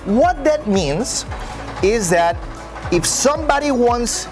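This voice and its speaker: A man's voice: very high-pitched, expressive, slow pace, soft background music. Mexican man with an American-sounding accent. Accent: American-sounding English. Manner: friendly, explanatory, with frequent "uh" fillers. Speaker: real estate broker guest. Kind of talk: interview answers.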